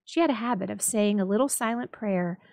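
A woman narrating a story dramatically, with expressive, measured storytelling. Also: mid-range voice, gravelly, no echo, studio quality. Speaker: library staff story reader.

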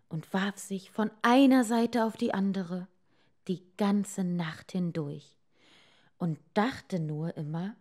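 Female storyteller for bedtime fairy tales: mid-pitched, slow, expressive, deliberate pauses. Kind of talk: bedtime fairy-tale narration.

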